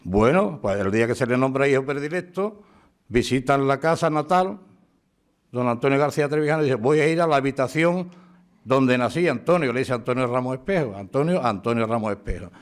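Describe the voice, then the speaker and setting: A man giving a lively, informal talk at a town tribute, acting out dialogue as he tells an anecdote. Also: mid-range voice, fairly quick. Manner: chatty storyteller, acts out dialogue. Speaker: speaker giving biographical sketch. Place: town hall event room.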